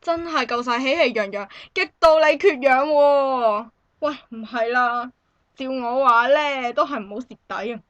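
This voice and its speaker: Woman acting expectant visitor, Hong Kong Cantonese accent, bright forceful voice, animated exclamations, dry studio sound, amateur radio drama. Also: high voice, unhurried, no echo, highs cut off. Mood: indignant, mocking. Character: expectant young woman visiting a friend, chatty and indignant.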